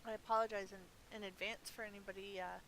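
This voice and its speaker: A woman's voice: mid-range voice, flat delivery, quick pace, a bit bright, poor audio. A woman giving casual live gameplay commentary as a streamer, chatty and thinking aloud. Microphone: budget gaming mic. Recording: faint hiss from a cheap microphone.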